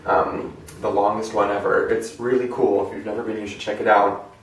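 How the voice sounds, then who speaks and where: A man's voice: on the low side, level tone, fairly quick, slight background noise. A young American man presenting a collection in a casual, chatty, enthusiastic and rambling vlog style. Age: young adult. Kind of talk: casual show-and-tell vlog. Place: indoor room at home. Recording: echoey room, distant microphone.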